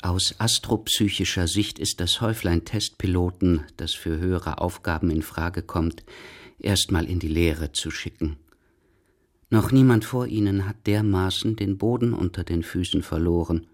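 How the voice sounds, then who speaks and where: A man with a standard German accent reads scripted literary radio narration in a measured, deliberate way. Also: low voice.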